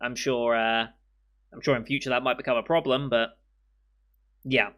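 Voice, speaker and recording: A man with a worn, hoarse voice talks loosely to his viewers, rambling as he thinks aloud. His tone stays bright and forceful despite the strain. He speaks into a mic in his room at home. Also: medium pitch, level tone, moderate pace, highs cut off. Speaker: anime reaction channel host. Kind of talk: casual to-camera commentary. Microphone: studio mic in frame.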